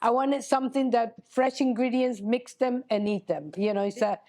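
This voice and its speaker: Woman, mellow halting voice. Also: a little high. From Honduras. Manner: halting, frequent restarts and "you know", light laughs. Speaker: guest, chocolatier. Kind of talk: casual interview. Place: chocolate shop cafe.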